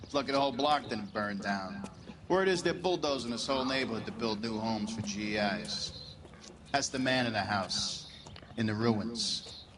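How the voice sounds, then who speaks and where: A man's voice: lowish voice, normal speed, noisy background, close to an everyday voice. A man playing a weary, matter-of-fact cop giving a briefing in a video game. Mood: grim, weary. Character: plain-talking male cop briefing at a fire scene. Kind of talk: cinematic video game acting. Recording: game audio with crowd murmur.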